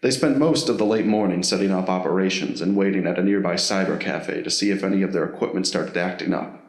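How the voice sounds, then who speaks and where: Male narrator for a scripted audio drama, lower register, roomy echoing recording. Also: fast talker.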